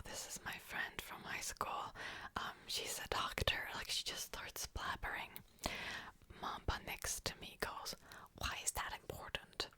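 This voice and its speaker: A young woman tells a story entirely in a soft whisper, unhurried and drawn out. Her tone is bright and crisp despite the hush, and her delivery is chatty, with "like" fillers throughout. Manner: chatty, frequent "like" fillers, amused.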